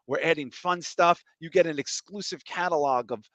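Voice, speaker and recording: High-voiced joking podcast host. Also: male voice, normal speed, fairly bright tone, no echo. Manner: crude joking, enthusiastic show plugs. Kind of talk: casual comedic podcast chat. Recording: muffled, slightly lo-fi stream audio.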